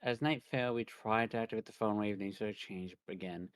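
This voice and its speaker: Quick-talking man on a game stream. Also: lowish voice, clean tone, no room sound. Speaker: co-streamer reading narration. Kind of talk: game narration and casual chat.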